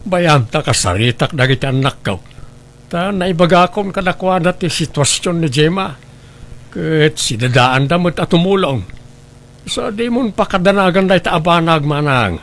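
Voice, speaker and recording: A man's voice: on the high side, lively intonation, moderate pace, fairly bright tone. A man acting a concerned, helpful family relative in a radio drama, speaking earnestly and sympathetically.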